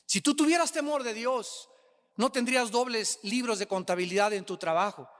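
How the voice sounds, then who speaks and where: Male preacher, Mexican accent, bright forceful tone, driving points home through repetition, long hall reverb. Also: very high voice, moderate pace. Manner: emphatic, repeats phrases for effect. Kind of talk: sermon.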